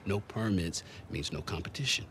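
A middle-aged American salvage boss in a video game, with a fairly low voice. He is genial, persistent and coaxing, heard over faint background noise. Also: moderate pace, a bit bright. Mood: coaxing, pleading. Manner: hearty, coaxing. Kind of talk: naturalistic video game cutscene acting.

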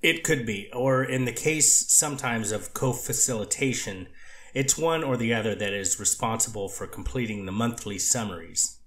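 A man, a treatment counselor, testifying as a witness in a courtroom, measured and guarded, speaking in institutional terms. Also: mid-range voice, a bit bright. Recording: echoey courtroom audio.

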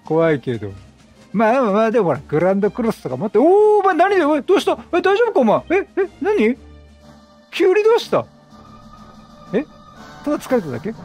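Excitable high-voiced man doing game commentary. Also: fast talker, pauses often, soft background music. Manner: chatty, reacts aloud and banters with the game characters. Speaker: gaming streamer, let's play host.

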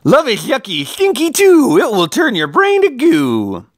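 A storyteller voicing a bossy, scornful paper Valentine heart in a loud, dramatic storybook style. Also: mid-range voice, huge pitch swings, unhurried, dry recording, clean studio sound, voice pushed up. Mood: taunting.

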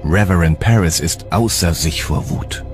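A man with a deep, low voice narrates a historical documentary. His delivery is scripted and dramatic, with music clearly playing underneath. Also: measured pace.

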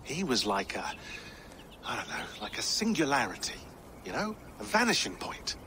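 An actor playing a talkative, sardonic tech expert in a video game. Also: male voice, mid-range voice, moderate pace, a little hiss or hum. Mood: wry. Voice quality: sardonic, animated.

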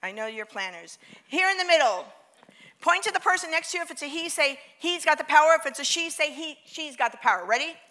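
A high, bright woman's voice with a New York accent, speaking on a large theater stage. She gives a motivational keynote with plenty of energy and pulls the crowd into call-and-response chants. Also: expressive, a little fast, slight background noise.

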